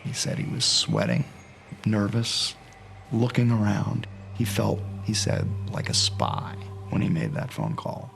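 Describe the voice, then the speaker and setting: A man's voice: lowish voice, sing-song, measured pace, vocal fry. A man recounting a story as an interviewee in a documentary. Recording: faint background noise.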